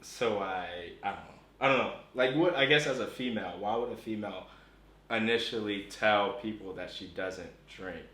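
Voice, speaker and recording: Male interviewer, loose and chatty, low-ish voice, roomy echo. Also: normal speed, fairly bright tone. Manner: chatty, laughs often, many fillers and restarts. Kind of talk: casual street interview.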